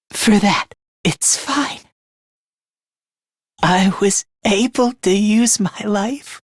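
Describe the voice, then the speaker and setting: A man's voice: very high-pitched, pushed and bright, dry recording, studio quality, full character voice. A man voicing a timid, self-loathing, frantic young male fighter in an anime-style video game dub, stammering, self-deprecating and easily panicked.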